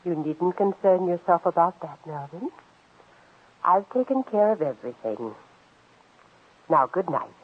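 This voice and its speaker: A woman's voice: on the low side, lively intonation, a little slow, pauses often, slightly rough. An actress playing a cold, controlling woman scheming in a murder plot, speaking in a commanding, composed, clipped way in 1940s radio drama style. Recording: tinny, muffled old-time radio recording.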